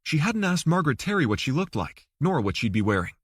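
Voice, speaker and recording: A man's voice: fairly high pitch, very animated, quick pace, breathy edge, no room sound, clean studio sound. A man reading a thriller novel aloud as an audiobook narrator. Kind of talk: scripted fiction narration.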